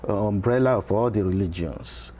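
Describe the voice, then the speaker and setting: A middle-aged Nigerian man, a Yoruba-speaking professor, explaining patiently in a TV interview with frequent pauses. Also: fairly low pitch, dark tone, slight background noise. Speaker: professor, guest. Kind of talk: interview conversation. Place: television studio.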